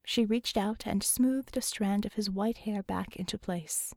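A woman's voice: mid-range voice, unhurried, creaky, a little mellow, dry recording, clean studio sound. A woman reading scripted fiction expressively as an audiobook narrator.

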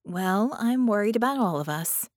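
A woman voicing an intense, quick-thinking young female magician in an audiobook, talking urgently as if thinking aloud. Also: medium pitch, dry recording, clean studio sound, natural voice. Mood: worried. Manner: excited, urgent, thinking out loud. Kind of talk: audiobook character voice.

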